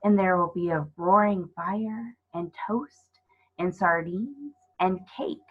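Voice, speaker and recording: A woman voicing a polite, melancholy male faun, gentle and hospitable, with courteous old-fashioned phrasing, in a storybook reading. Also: on the low side, slow, slightly rough, narrow, tinny audio.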